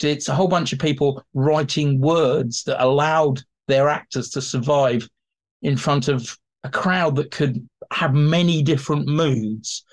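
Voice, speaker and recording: A man speaking as an expert guest, explaining informally in an animated way and slipping into acted-out examples. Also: on the high side. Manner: animated, acts out imagined quotes. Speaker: expert guest, theatre historian. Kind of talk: informal explanatory interview talk.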